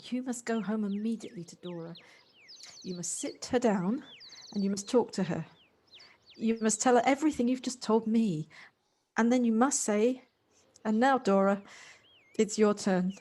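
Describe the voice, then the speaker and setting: A woman's voice: on the high side, normal speed, pauses often, mellow, close to an everyday voice. A woman acting an earnest, caring professional in an amateur audio drama. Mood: urgent, caring. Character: earnest married London lawyer, caring and insistent. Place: at home, recorded remotely. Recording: faint noise, home personal-device recording.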